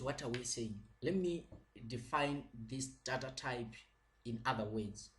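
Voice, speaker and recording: A man giving a slow, patient recorded lecture over slides, repeating points and using many fillers. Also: mid-range voice.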